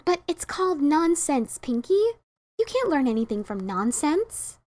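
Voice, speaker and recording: A woman's voice: sits high, big pitch swings, unhurried, a little mellow, no echo. A woman voicing a sensible, scholarly young female cartoon pony, speaking in a dry, scolding, matter-of-fact way. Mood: dry, reluctant. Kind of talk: dramatic reading of a cartoon fan story.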